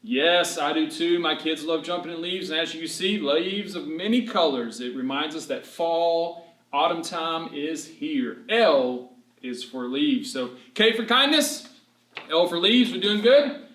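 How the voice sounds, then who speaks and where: A man reading an alphabet story to young children as a teacher, animated and encouraging, asking questions and drawing words out for emphasis. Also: a little high, measured pace. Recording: roomy, echoing room sound.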